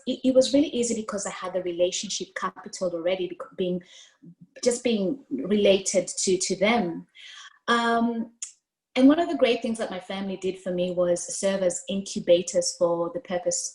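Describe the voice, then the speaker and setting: A woman in her thirties from Kenya with a Kenyan accent, a native Swahili speaker, telling her life story expressively as a guest on a video call. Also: medium pitch. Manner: expressive, gestures with hands while speaking. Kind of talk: storytelling interview answer. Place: room at home, indoors. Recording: roomy video call audio.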